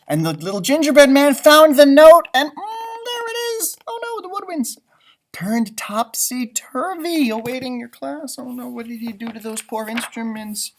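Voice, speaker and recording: A man reading a picture book aloud to young children as a teacher, with expressive asides and questions to his listeners. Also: very high voice. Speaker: elementary school teacher reading storybooks aloud.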